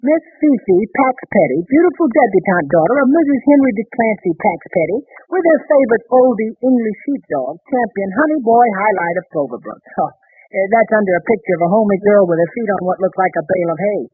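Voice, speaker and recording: High-voiced, flustered young radio husband. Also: male voice, animated, a little fast, husky, no echo, soft background music. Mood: scornful, amused. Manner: sputtering, hesitant, easily flustered. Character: excitable, bumbling young husband in a radio sitcom. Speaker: sitcom husband. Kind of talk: 1930s radio comedy acting. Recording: muffled, narrow-band 1930s radio recording.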